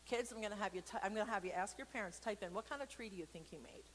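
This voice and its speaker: A woman's voice: medium pitch, rapid-fire, a bit bright. A woman leading an informal children's storytime for a church, playful and extroverted, chatting in rambling, self-deprecating asides. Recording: noticeable background noise.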